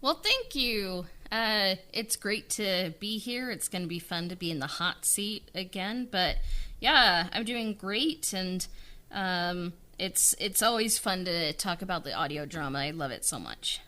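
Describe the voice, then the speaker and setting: A woman podcast co-host and writer, answering interview questions in an enthusiastic, halting way. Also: on the low side, animated, sharp tone. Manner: enthusiastic, with hesitations and fillers. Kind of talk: casual interview chat.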